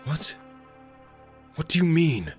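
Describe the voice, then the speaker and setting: A reassuring companion acted by a man speaks with concern in an audio drama. The voice is mid-pitched and animated, with clear music behind it. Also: slow. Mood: concerned, soothing. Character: reassuring, concerned ally at a sickbed.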